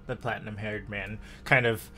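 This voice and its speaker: A man's voice: lowish voice, steady tone, normal speed, bright, forceful tone, soft background music. A man narrating scenes and characters' actions as a narrator in a tabletop roleplay game.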